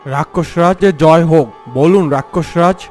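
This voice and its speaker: A man's voice: fairly high pitch, normal speed, darkish tone, soft background music, close to an everyday voice. A man voicing an obedient demon soldier in a fairy-tale cartoon, deferential and declaiming. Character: obedient demon soldier hailing his king.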